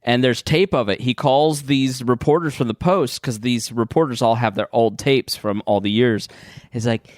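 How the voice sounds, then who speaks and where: A man's voice: medium pitch, normal speed, dry recording, studio quality. Chatty male co-host. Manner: chatty, storytelling. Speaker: podcast co-host. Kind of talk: casual podcast chat.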